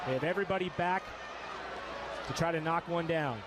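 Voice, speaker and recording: A man calling a football game live on radio, shouting excitedly and repeating his calls over a stadium crowd. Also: a little high, brisk, noisy background. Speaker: radio play-by-play announcer. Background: loud stadium crowd.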